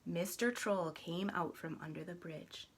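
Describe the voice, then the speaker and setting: A woman reading a children's story aloud in an expressive, deliberate, dramatic way. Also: lowish voice, breathy edge. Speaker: storybook reader.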